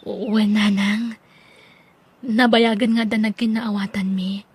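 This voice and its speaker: A woman playing a devoted, earnest young sweetheart in an audio drama, with a breathy voice. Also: medium pitch, flat delivery, slow pace. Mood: hesitant. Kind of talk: Ilocano audio drama acting.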